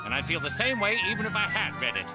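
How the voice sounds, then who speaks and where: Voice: medium pitch, big pitch swings, fast, lightly acted. A performer voicing a grumpy, dismissive colonial loyalist merchant in a historical cartoon, scornful and blustering. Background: music.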